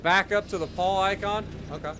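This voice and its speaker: A man's voice: sits high, steady tone, moderate pace, background noise. A man with an Ohio accent, casually commenting while he plays a game, joking and chatting to himself and his viewers. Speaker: game streamer.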